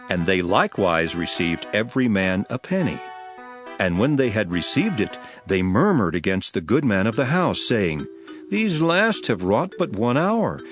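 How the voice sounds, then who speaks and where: Solemn male teacher of parables, low voice with lively melodic swings, grave authority, music behind. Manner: solemn, declamatory, measured. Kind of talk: dramatized scripture reading.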